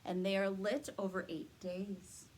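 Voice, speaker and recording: A woman's voice: mid-range voice, little pitch movement, measured pace, a little hiss or hum, poor audio. A woman leading a read-aloud story time for young children, sing-song and encouraging, explaining things simply.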